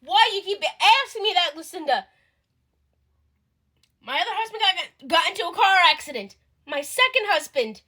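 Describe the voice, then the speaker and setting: A woman's voice: high-pitched, bright, forceful tone. A woman voicing an indignant elderly woman who insists she is innocent. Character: elderly woman, indignant, denies murdering husbands.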